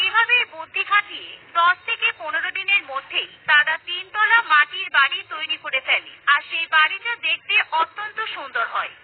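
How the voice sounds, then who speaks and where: A woman giving scripted storybook narration for a children's cartoon in a steady, even storytelling manner. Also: very high-pitched. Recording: tinny phone-like sound.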